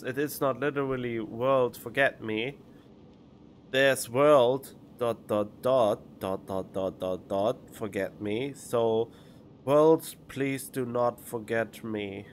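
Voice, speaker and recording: A man giving casual live game commentary as a streamer, skeptical and halting, on a studio microphone. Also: medium pitch, a little hiss or hum. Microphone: studio condenser microphone.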